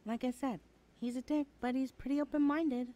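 A woman's voice: high-pitched, fairly even intonation, moderate pace, dark tone, close to an everyday voice. A woman playing a sassy, blunt twenty-one-year-old best friend in a casual, slangy audio roleplay, often sighing. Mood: frank, supportive. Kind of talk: conversational ASMR roleplay acting.

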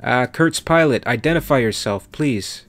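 A man's voice: mid-range voice, animated, normal speed, a bit bright, faint music behind, voice pushed up. A man voicing a very young, nervous military lieutenant in an audiobook, deferential and hesitant. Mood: nervous, official. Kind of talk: audiobook character dialogue.

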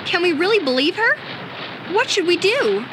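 A woman's voice: very high-pitched, very animated, normal speed. An actress playing an earnest, questioning schoolgirl adventurer in an anime video game. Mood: puzzled, doubtful. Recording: game audio with clear background noise.

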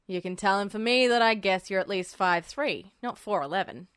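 A woman voicing tired adult policemen, gruff and polite, in a storybook reading. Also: normal speed, a bit bright, no echo, clean studio sound, lightly acted. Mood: polite, amused. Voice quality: deep, hoarse with sleep. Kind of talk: fan fiction audiobook character voice.

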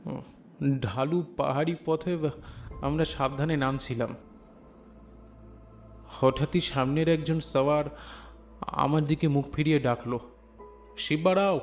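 A man's voice: fairly high pitch, takes it slowly, long pauses, faint music behind. A man playing an earnest, shy fifteen-year-old village schoolboy who speaks hesitantly and respectfully in an audio drama. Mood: hesitant, awed. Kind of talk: dramatised audio story dialogue.

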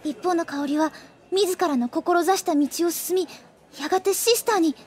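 A quick-witted schoolgirl in an anime audio drama. Her voice is extremely high, and she works through her deductions with earnest excitement. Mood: thoughtful, eager.

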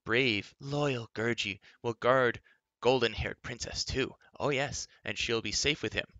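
A man's voice: medium pitch, a little slow, sharp tone, no room sound. A man voicing a timid, whimpering furry creature that talks in whiny, broken phrases. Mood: fretful. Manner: whiny, snuffling broken speech.

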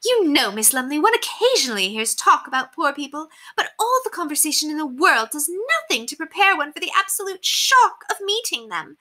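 A woman's voice: very high voice, sharp tone, pitched above the performer's own voice, full character voice. A woman voicing a haughty, spoiled young lady of the manor in a storybook, with pompous, affected, emphatic delivery.

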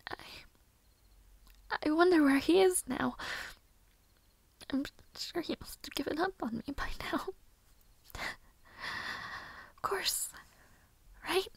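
Girl acting sad lovesick young maid, really high breathy whispery voice, slow and hesitant, roleplay audio. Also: no room sound, full character voice. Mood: wistful, longing. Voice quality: soft, breathy, often whispering. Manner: hesitant, slow, emotional pauses. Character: sorrowful, lovelorn young maid, weary and self-doubting. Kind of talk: intimate audio roleplay monologue.

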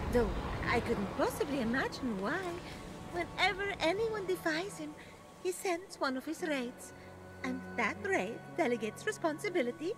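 Dainty high-voiced tree goddess. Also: female voice. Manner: gracious, dainty, measured. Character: gentle, courteous goddess turned talking tree, dreamy and tender. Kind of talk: video game cutscene acting.

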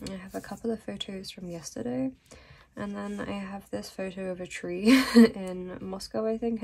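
Hoarse, soft-spoken sick young woman. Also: medium pitch. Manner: soft, unhurried and rambling with little laughs. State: sick and losing her voice. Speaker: vlogger. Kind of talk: casual voice-over journaling narration.

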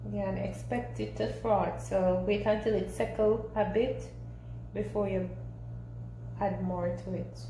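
A woman giving a casual, step-by-step home recipe demonstration in simple, unhurried instructional talk. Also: mid-range voice, fairly even intonation, dark tone. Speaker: home cooking vlogger. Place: small home kitchen, indoors. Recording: roomy echo, clear background noise.

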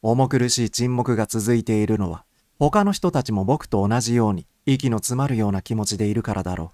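A man's voice: on the low side, expressive, brisk, dark tone, no room sound, studio quality. A man reading a first-person story as a scripted audiobook narration.

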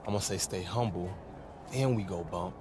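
A man's voice: low voice, normal speed, natural voice. A man playing a jittery, eager young college basketball teammate in a video game, chatty and informal. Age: young adult. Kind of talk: cinematic game dialogue. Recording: clear ambient noise behind the voice.

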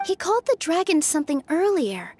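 A woman's voice: very high voice, big pitch swings, fairly quick, darkish tone, slight background noise. A woman voicing an earnest, kindly young female adventurer in an anime game dub. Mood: thoughtful.

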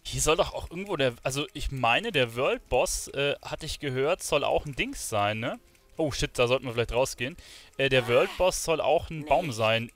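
A man's voice: mid-range voice, expressive, moderate pace, a bit bright. A man giving casual gameplay commentary as a streamer. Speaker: gaming streamer. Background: game music and sounds.

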